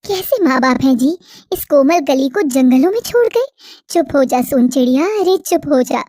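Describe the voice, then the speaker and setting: A doting young village wife from a cartoon story, as a woman puts her on, coaxing and affectionate. Her voice is high and soft. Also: expressive, moderate pace, no echo, clean studio sound.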